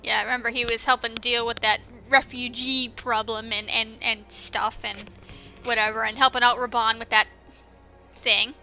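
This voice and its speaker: A woman's voice: on the high side, little pitch movement, normal speed, bright, forceful tone, faint music behind. A woman giving casual, sarcastic and exclamatory commentary over a video game, speaking informally with some swearing. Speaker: gameplay commentator.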